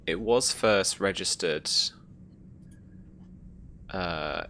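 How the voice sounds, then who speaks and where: A woman's voice: a bass voice, drawn-out words, fairly bright tone, slight background noise. A woman running a tabletop roleplaying game, speaking casually and improvising with some hesitation. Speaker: game master.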